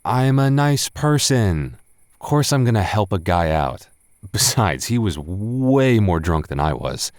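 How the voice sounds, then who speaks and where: A very low-pitched male roommate voiced by a man, tipsy and flustered, in an intimate roleplay audio. He stumbles over his words, defensive yet flirty, and sounds close and dry, with no room around him. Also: big pitch swings, measured pace, darkish tone, no room sound. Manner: stammering, defensive, conversational. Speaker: voice actor.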